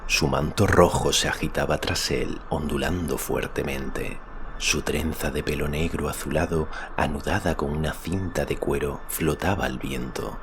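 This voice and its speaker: Un hombre narra un relato fantástico con fraseo pausado, solemne y dramático, como en un audiolibro. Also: male voice, rumbling low. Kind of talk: dramatic scripted narration of a fantasy story. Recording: clear ambient noise behind.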